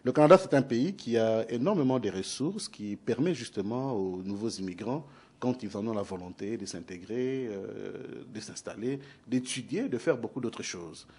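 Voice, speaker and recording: Mellow-voiced formal TV host man. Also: lowish voice, normal speed, breathy edge. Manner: formal, addresses the audience directly. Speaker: TV interview host. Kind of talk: formal TV interview.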